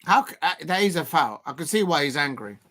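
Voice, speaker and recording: A man's voice: a little high, big pitch swings, fast, fairly bright tone, a little hiss or hum. Hesitant man hosting a football watch-along. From Colombia. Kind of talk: casual live match commentary.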